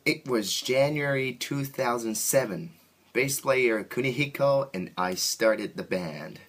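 A man from Japan with a Japanese accent, a band musician answering interview questions in simple, halting second-language phrasing. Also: medium pitch, animated, fairly bright tone.

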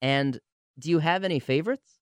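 A man's voice: fairly high pitch, fairly quick, smooth, dry recording. A man hosting a music radio interview, putting prepared questions in a polite, courteous manner.

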